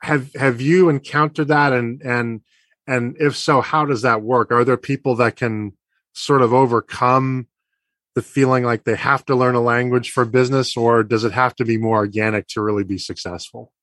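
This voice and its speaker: A male interviewer asking thoughtful, halting questions and sharing his own story in a conversational interview. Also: mid-range voice, fairly even intonation, a bit bright, no room sound, clean studio sound. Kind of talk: podcast interview.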